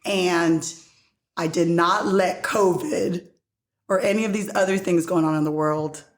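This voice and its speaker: A woman in her forties with a loud voice, chatting excitably as a podcast host. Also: lowish voice, a little slow. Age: 40s. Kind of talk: casual group chat. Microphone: podcast microphone. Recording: roomy echo around the mic.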